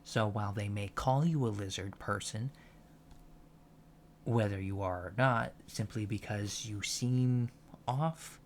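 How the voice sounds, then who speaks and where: Soft-spoken, slow man with a creaky voice. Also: lowish voice, a little hiss or hum. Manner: measured, matter-of-fact explaining, deadpan. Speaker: ASMR performer playing an alien college lecturer. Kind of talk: soft-spoken ASMR roleplay lecture.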